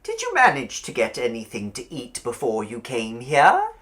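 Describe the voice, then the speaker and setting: A man voicing a kindly, eccentric middle-aged landlady in an audiobook, gushing and sing-song with drawn-out words and a faintly eerie sweetness. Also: medium pitch, wildly swooping pitch, a bit bright. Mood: eager, motherly. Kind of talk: audiobook character voice.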